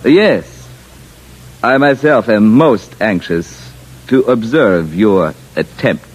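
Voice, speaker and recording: Formal alien official, swooping delivery. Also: male voice, on the low side, lively intonation, slow, breathy edge, exaggerated voice. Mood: coolly smug. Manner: stiff, precise, formal speech without contractions. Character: formal alien official, male, courteous and smugly confident. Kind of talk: old-time radio drama acting. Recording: muffled vintage radio broadcast.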